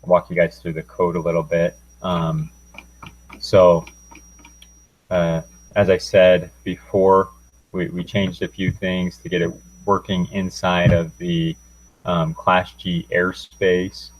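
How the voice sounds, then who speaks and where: Very low-voiced man, presenting in flat tones. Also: slow pace, poor audio. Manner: hesitant, informal, unscripted. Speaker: student presenting a class project. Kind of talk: technical presentation, walking through a demo.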